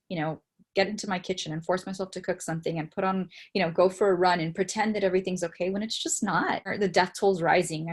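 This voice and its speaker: A woman's voice: on the low side, breathy edge. A young woman from Miami, a theologian and interview guest, talking in an earnest, reflective way with frequent fillers. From Miami, Florida, United States. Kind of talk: casual conversational interview.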